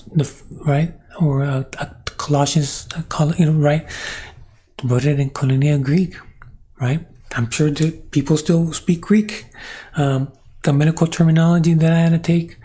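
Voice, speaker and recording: A man's voice: on the high side, dark tone, breathy edge. A man whose first language is Spanish, thinking aloud in a rambling monologue with many restarts and questions to the viewer. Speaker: channel host. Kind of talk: reflective monologue.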